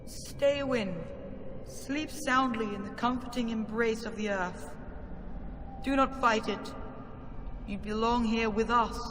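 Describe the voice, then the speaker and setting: A sinister undead spirit in a fantasy game, luring with false comfort. Her coaxing voice rings with long reverb over clear background noise. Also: fairly high pitch, takes it slowly, pauses often. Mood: eerily coaxing. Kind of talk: dramatic video game acting.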